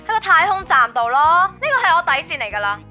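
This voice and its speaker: A woman's voice: extremely high pitch, very animated, quick pace, bright, forceful tone, muffled recording, soft background music, heavily acted. An actress playing a demanding, firm young girlfriend with a Hong Kong accent in a radio drama. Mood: firm, resigned. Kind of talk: Cantonese radio drama acting.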